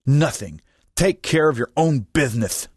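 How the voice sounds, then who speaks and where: A man's voice: mid-range voice, animated, normal speed, husky, dry recording, clean studio sound. A man voicing a defiant son who mocks and taunts his mother in an audiobook, dismissive in manner. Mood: irritated. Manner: mocking, dismissive. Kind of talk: audiobook character voice.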